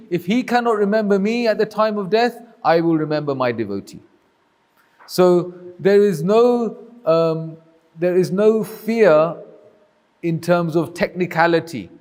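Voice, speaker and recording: A man's voice: high voice, darkish tone, big-room sound. A man teaching a group, answering questions with patient, reassuring explanations. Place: community hall.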